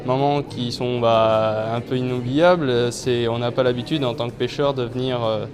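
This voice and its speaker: A man's voice: medium pitch, fairly even intonation, moderate pace. A young man, a trainee fisherman, giving simple, informal interview answers. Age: young adult. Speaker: trainee fisherman, award winner. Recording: music playing behind the voice. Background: background music.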